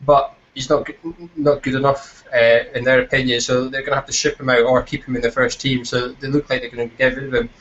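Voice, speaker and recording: A man's voice: medium pitch, flat delivery, fast talker, hardly any pauses, a bit bright, slight background noise. A Scottish man casually hosting a football fan chat show in a self-deprecating, jokey way. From Scotland. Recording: dull lo-fi voice chat.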